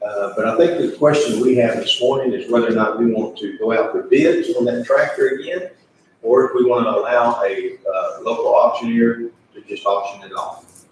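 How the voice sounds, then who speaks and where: A man's voice: mid-range voice, normal speed. Man in a very echoey room. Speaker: judge presiding over county commissioners meeting. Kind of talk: informal public meeting discussion. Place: public meeting room. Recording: echoey meeting room, distant mic, faint noise.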